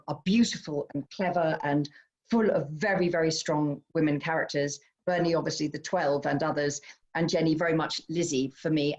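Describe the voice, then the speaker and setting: A woman's voice: low voice, darkish tone. A British woman hosting a live online literary conversation, effusive and welcoming as she chairs it over a video call. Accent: British English. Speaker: event host chairing author discussion.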